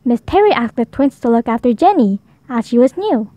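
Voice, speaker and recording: A woman's voice: on the high side, very animated, brisk, mellow, no echo, muffled recording. A woman narrating a children's story as a read-aloud storybook reader.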